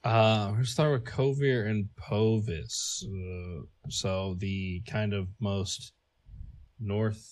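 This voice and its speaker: Low-voiced man rambling through lore notes. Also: steady tone, slow pace, a little mellow, dry recording. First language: not English. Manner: chatty, filler-heavy, laughs at own jokes. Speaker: podcast co-host. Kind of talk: casual podcast lore talk.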